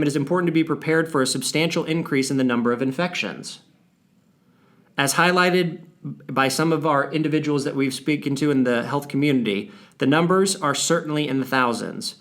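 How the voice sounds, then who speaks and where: Higher-pitched man reading a formal briefing. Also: a bit bright. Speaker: financial firm presenter on a client briefing call. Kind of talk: scripted briefing read aloud.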